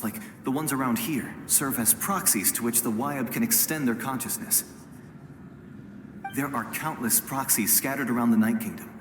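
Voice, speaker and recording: A man voicing a calm, knowledgeable spirit-realm guide in a video game, explaining in a measured way. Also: medium pitch, lightly acted. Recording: echoey long reverb, background noise.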